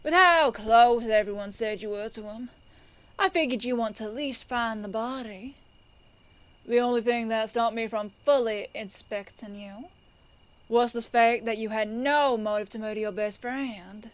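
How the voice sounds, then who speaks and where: A woman playing a composed police detective in an audio roleplay, firm yet kindly, with a measured, folksy, knowing delivery. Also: fairly high pitch, no echo, lightly acted. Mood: smug, explaining.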